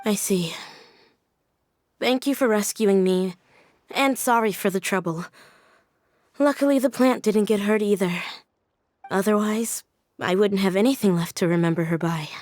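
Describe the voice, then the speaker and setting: A woman's voice: medium pitch, a little slow, pauses often, darkish tone, no echo, studio quality, lightly acted. A woman playing a sensitive, polite young woman in a video game, speaking in an earnest, emotive way. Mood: resigned, grateful. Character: sensitive, polite young woman clinging to a lost loved one. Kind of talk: English video game dub acting.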